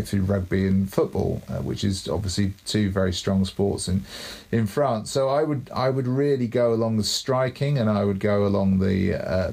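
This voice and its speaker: A man's voice: on the low side, gravelly, a little mellow. A man co-hosting a cricket podcast, giving coaching advice in a thoughtful, casual way and repeating words as he thinks. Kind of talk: casual chat.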